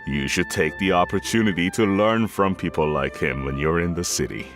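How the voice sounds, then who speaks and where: A deep-voiced old tea merchant. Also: male voice, over music. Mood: polite. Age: older. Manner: courteous, slow, deferential. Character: older male tea merchant, polite and fatherly. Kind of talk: game voice acting.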